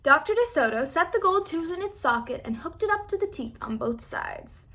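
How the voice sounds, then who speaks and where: A woman narrates a children's picture book with plenty of expression. Her voice is very high and bright, suited to read-aloud storytime. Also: lively intonation, normal speed.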